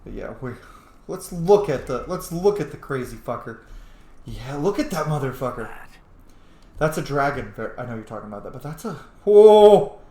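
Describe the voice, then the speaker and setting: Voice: medium pitch, very animated, normal speed, dark tone, echoey room. A gaming streamer giving casual, chatty playthrough commentary, playful and full of swearing.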